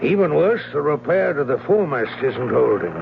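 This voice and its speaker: An actor in an old-time radio drama playing a grave, authoritative sea captain, formal and emphatic, with a gravelly voice. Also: male voice, on the high side, sing-song, moderate pace. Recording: tinny, very noisy old radio recording.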